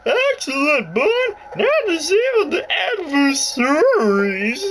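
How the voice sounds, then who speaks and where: A woman acts out a bossy older female spy chief in a video game. The voice is pitched high and swings theatrically through stilted, drawn-out orders. Loud game music plays behind. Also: big pitch swings, heavily acted. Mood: commanding. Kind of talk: video game dialogue read aloud.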